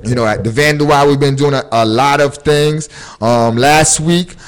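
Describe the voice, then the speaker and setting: A man hosts a casual podcast, and his voice sits at an ordinary middle pitch. He talks in a loose, rambling way, full of slang and little fillers. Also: moderate pace, clear, resonant tone. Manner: laid-back, rambling, with fillers and street slang. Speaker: podcast host.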